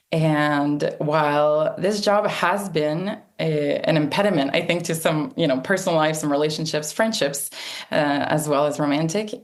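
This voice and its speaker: A woman in her thirties from Canada whose first language is French, speaking reflectively as an interview guest. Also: deep voice. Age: 30s. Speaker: guest, outdoor travel guide. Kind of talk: conversational interview answers.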